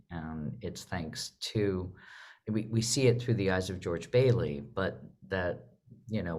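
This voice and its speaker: A woman's voice: very deep voice, darkish tone. A woman hosting a theatre panel discussion in a conversational way. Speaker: host, theatre leader.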